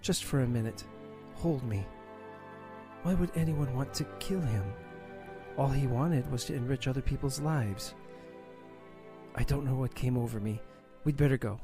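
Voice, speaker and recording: A man's voice: medium pitch, moderate pace, long pauses, music in the background, close to an everyday voice. A man voicing a grieving, vulnerable young woman in an amateur audio drama.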